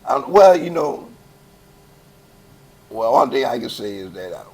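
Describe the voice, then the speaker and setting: An older man from Chicago with a heavy Southern-sounding accent, a gospel choir founder telling stories in a relaxed, laughing, folksy way. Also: medium pitch, unhurried, breathy edge. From Chicago, West Side. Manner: easygoing, laughing, folksy storytelling. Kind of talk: relaxed interview conversation.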